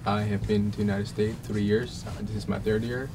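A teenage young man raised in Egypt, speaking haltingly as a student guest. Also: fairly low pitch, little pitch movement. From Egypt, originally Somalia. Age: teens. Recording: clear background noise.

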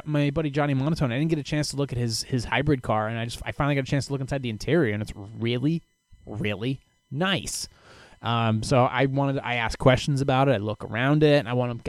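A man hosting a casual solo podcast, giving blunt, animated advice on listener questions, with frequent restarts and swearing. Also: medium pitch, no room sound.

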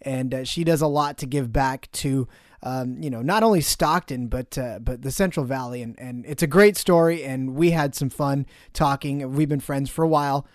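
Middle-aged male podcast host, relaxed and friendly, dry studio sound. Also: medium pitch, normal speed, dry recording. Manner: relaxed, friendly, filler-heavy. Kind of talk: casual podcast chat.

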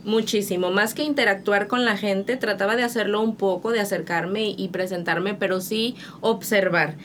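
A woman from Mexico with a northern Mexican accent, giving measured, reflective answers as an interview guest. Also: medium pitch, steady tone, a bit bright, a little hiss or hum. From Monterrey, Mexico.

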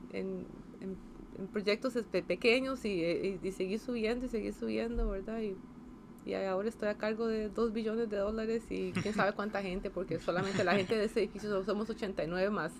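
A woman's voice: medium pitch, background noise. A woman in her fifties with a Costa Rican accent, answering interview questions in a casual, hesitant way, with many fillers, restarts and tag questions. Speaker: engineer interviewee.

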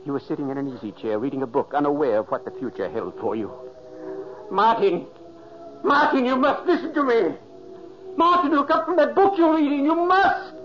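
A man's voice: mid-range voice, very fast, hardly any pauses, dark tone, faint music behind, close to an everyday voice. An actor playing the ghost of a dead older man, narrating earnestly and pleading to warn his brother, in an old radio play. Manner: urgent, pleading. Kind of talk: 1940s radio acting. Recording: dull old radio recording.

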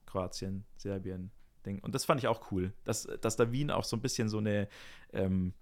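A young man co-hosting a casual podcast, relaxed and easygoing, with frequent fillers. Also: fairly low pitch, normal speed. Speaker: podcast co-host, jazz musician. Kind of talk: casual chat.

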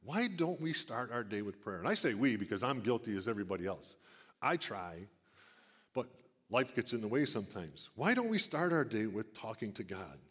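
A middle-aged man with a big booming voice, speaking to a church congregation in a folksy preaching manner. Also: sing-song, normal speed, a bit bright, husky. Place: church sanctuary. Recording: long reverb of a church hall. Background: church hall.

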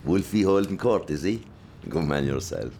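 A man's voice: rumbling low, lively intonation, normal speed, warm tone, slight background noise. An actor playing a domineering, brutal father who bullies his sons, speaking with a sneering, menacing manner.

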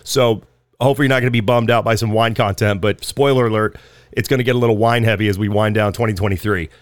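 A man hosting a podcast, a little raspy from a head cold, talking in a chatty, loose way with frequent ums. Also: on the low side, fairly even intonation, quick pace, no room sound. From Southern California. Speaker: podcast host. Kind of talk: casual podcast intro and ad reads.

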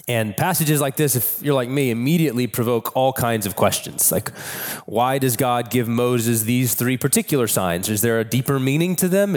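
A man with a cold, hoarse, preaching a sermon in a church in a candid, conversational way. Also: medium pitch. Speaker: pastor. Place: church sanctuary. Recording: long reverb of a church hall.

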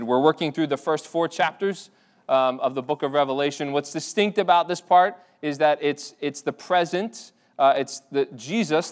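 A man's voice: medium pitch, smooth. A man preaching a sermon to his congregation in a church, talking in a conversational way with many restarts and fillers. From Ireland. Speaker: pastor. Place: church sanctuary.

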